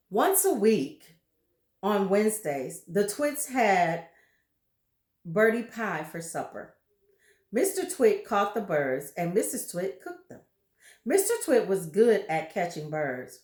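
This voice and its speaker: Lady reading a kids book out loud real slow, echoey room. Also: medium pitch. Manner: expressive, showing pictures to listeners. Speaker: storybook reader. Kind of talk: children's book read-aloud.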